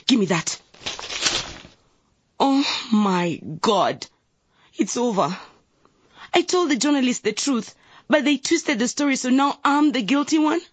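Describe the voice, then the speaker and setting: A woman's voice: sits high, expressive, a little slow, pauses often, husky, dry recording, heavily acted. A woman acting a determined, easily exasperated teenage elder sister in a radio drama, earnest and dramatic. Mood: dismayed.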